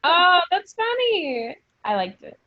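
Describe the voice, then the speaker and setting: A woman's voice: extremely high pitch, very animated, slow pace, no echo. Woman, casual chat, slightly muffled. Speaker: podcast cast member. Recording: muffled, dull lo-fi podcast audio.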